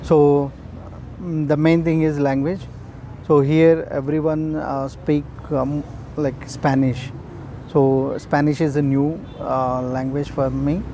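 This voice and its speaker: Indian man, young adult, halting street-interview answers, faint traffic behind. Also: fairly high pitch, little pitch movement, dark tone. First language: Urdu. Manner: hesitant, many fillers.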